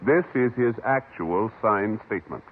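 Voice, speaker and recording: A man's voice: on the low side, measured pace. A man giving formal, scripted announcements as a 1950s radio program announcer. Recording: faintly noisy, tinny old radio recording.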